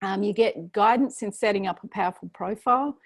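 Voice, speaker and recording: A woman with an Australian accent presenting a paid program in a chatty, filler-heavy way, her voice going slightly hoarse. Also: mid-range voice, a little fast, no room sound. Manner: chatty, many fillers and restarts. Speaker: program host presenting an offer. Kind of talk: webinar sales pitch.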